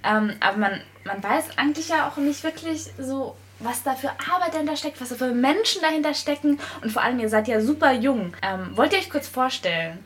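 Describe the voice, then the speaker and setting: A woman's voice: sits high, animated, a little fast, few pauses, a bit bright. A young woman hosting a casual podcast, asking hesitant questions full of fillers. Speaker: podcast host.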